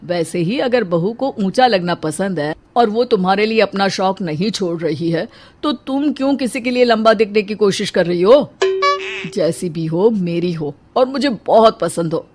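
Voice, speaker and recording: A woman voicing a middle-aged husband in a cartoon story, calm, supportive and reasoning. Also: medium pitch, normal speed, soft background music, natural voice. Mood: earnest, loving. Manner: reassuring, reasoning.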